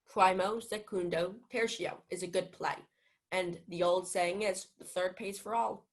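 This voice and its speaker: A woman's voice: on the low side, steady tone, a little slow, lightly acted. A young woman playing a witty, wheedling household clown in a radio-style Shakespeare play. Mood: cheeky, wheedling. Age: young adult.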